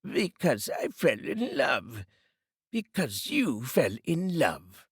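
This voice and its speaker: A man's voice: mid-range voice, sing-song, takes it slowly, husky, no room sound, clean studio sound, pitched above the performer's own voice, heavily acted. Man acting growling, miserly old storybook uncle. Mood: irritated. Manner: growling, curt, scornful. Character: grumpy, miserly old uncle who scorns Christmas. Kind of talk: dramatised storybook reading.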